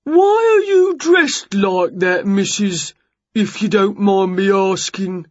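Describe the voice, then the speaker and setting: A woman's voice: medium pitch, expressive, slow, darkish tone, no echo, highs cut off. A woman voicing a simple, apologetic giant man in a storybook, with ungrammatical, homely and polite speech. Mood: apologetic, puzzled. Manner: rustic, ungrammatical, politely deferential. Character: giant male neighbour, simple, apologetic dog owner.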